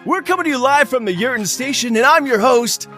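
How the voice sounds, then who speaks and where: Flamboyant, gleeful game show host, high theatrical voice, swooping delivery, music bed underneath. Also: male voice, animated, fairly quick, bright, forceful tone, full character voice. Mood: gleeful, showy. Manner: theatrical, gushing, exclamatory. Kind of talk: showy live sports-style commentary.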